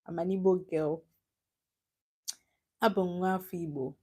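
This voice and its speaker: A woman's voice: lowish voice, steady tone, moderate pace, darkish tone. Young Nigerian woman, live stream host; casual, chatty, laughs easily. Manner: chatty, teasing, laughs often, answers chat comments. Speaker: livestream host.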